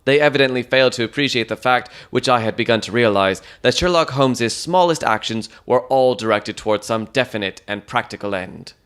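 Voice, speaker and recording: A man's voice: medium pitch, a little fast, few pauses, a bit bright, clean tone. A man reading scripted first-person narration for a dramatized detective story.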